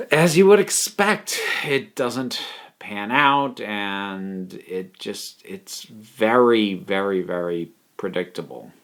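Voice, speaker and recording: A man giving a casual, opinionated review, leaning with deliberate emphasis on key words. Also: sits low, fairly bright tone.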